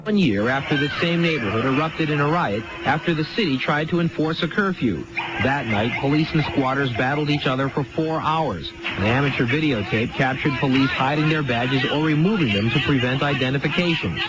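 A man's voice: medium pitch, normal speed, over loud music. A man delivering a scripted news report. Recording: dull, very noisy archive news audio.

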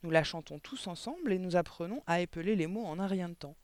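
Un homme qui lit un livre pour enfants en incarnant un petit écolier courageux, poli et empressé, à la voix aiguë. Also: male voice, expressive, fast, no room sound. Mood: sing-song, proud. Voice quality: high-pitched. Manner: eager, insistent. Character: plucky little schoolboy, brave, eager, polite. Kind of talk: storybook reading.

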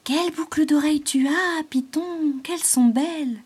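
A woman voicing a vain, chirpy female beetle who gushes in a raised, excited voice. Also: brisk, slightly rough. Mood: admiring. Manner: high, excited and gushing.

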